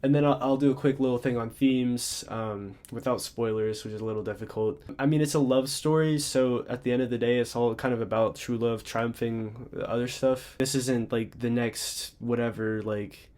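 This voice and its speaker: A man's voice: medium pitch, steady tone, normal speed, hardly any pauses, a little mellow. A young man giving a casual, off-the-cuff book review, a bit congested, rambling with lots of fillers and restarts. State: congested, slightly stuffy.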